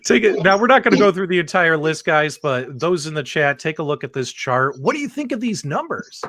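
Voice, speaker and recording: A man hosting a casual panel show, excitable and chatty as he addresses his co-hosts and audience. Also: fairly high pitch, fairly quick, no echo. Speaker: host of a video game podcast.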